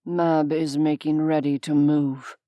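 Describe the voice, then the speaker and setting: A woman's voice: low-pitched, level tone, slow pace, warm tone, dry recording, pitched below the performer's own voice. A woman voicing a cold, aloof male demon who speaks in a formal, detached way.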